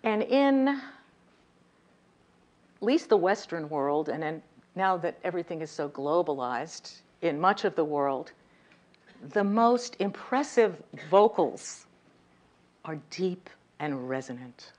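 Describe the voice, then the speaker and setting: Woman, workshop speaker, slightly high voice, lively lecture, asks the audience questions. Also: takes it slowly, pauses often. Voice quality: voice a little high, by her own account. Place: lecture room.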